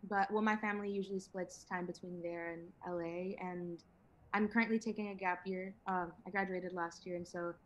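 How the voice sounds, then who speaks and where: A woman's voice: fairly low pitch, fairly even intonation, moderate pace, slight background noise, rough recording. An eighteen-year-old woman from Venezuela, a singer-songwriter guest chatting in an interview, laughing often and talking with many fillers and restarts. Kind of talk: casual interview chat.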